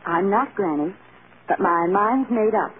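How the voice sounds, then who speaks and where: An actress playing an earnest, emotionally fragile young film star in 1940s radio drama style. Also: female voice, mid-range voice, animated, unhurried, close to an everyday voice. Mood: despairing. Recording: tinny, hissy old radio recording.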